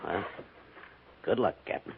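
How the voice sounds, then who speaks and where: A man's voice: unhurried, natural voice. A man playing a worried, resigned cavalry major in a 1950s radio western, speaking with terse authority. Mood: businesslike. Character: worried, resigned male army major, frontier fort commander. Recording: muffled, faintly noisy vintage radio recording.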